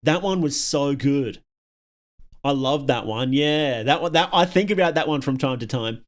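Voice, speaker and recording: A man's voice: medium pitch, animated, normal speed. A man hosting a casual livestream, chatting to viewers and thinking aloud in a rambling, opinionated way. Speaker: livestream host.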